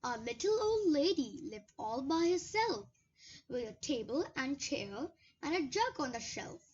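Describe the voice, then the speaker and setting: A young-sounding storyteller reading a children's story aloud in a deliberate, expressive way. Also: high voice, highs cut off.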